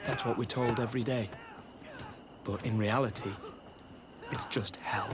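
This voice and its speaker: A man's voice: mid-range voice, fairly even intonation, unhurried, natural voice. Man acting a grim young recruit, noisy background. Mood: bitter, grave. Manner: grave, earnest testimony. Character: tormented young male recruit, grim, bitter, guilt-ridden. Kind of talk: video game cutscene acting. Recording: clearly noisy game audio with ambience.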